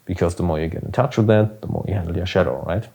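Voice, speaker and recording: A man's voice: deep voice, fast talker, mellow. A young man with a German accent, hosting a casual motivational podcast in an earnest, emphatic way with some swearing. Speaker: podcast host and business coach.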